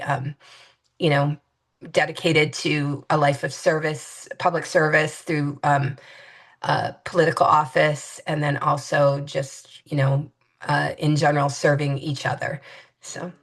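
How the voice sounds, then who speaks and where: A woman's voice: deep voice, flat delivery, a little slow, slightly rough. A woman in her fifties from Hawaii, an interview guest recalling her family in a reflective, hesitant way, with many fillers and restarts. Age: 50s.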